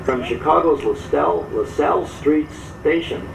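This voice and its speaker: A man's voice: on the high side, moderate pace, vocal fry, distant mic. Folksy radio host man, echoey train-side broadcast. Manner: conversational, folksy, appreciative. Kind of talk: casual live radio interview and hosting. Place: open back platform of train car. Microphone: broadcast microphone. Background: train and railway noise.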